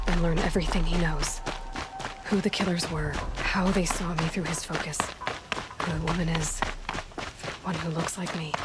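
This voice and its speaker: A woman's voice: fairly low pitch, very noisy, lightly acted. An actress playing a determined young woman hunter in a video game, speaking firmly and directly. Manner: firm and direct. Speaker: protagonist. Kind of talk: game cutscene acting. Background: game score.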